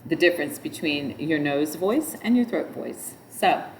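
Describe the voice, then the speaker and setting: A middle-aged woman with a nasal voice, teaching yoga in a relaxed, rambling way. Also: low voice, a little slow, slight background noise. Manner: chants mantras in a nasal sung tone between explanations. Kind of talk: spoken yoga instruction.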